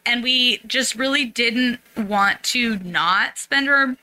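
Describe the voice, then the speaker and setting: Casting: American female interview guest, very bright tone, chatty, thoughtful, informal phrasing. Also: on the high side, slow pace, low-quality recording. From San Francisco, USA. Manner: talkative, reflective, peppered with "like" and "you know". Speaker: interview guest, social worker and radio playwright. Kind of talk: conversational interview answers. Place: recording studio.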